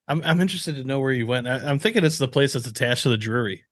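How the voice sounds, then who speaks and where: A man's voice: mid-range voice, animated, fast talker, no echo, clean studio sound. An American man chatting casually on a podcast, telling stories with blunt, swearing humor. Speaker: podcast host. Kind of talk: casual chat among friends.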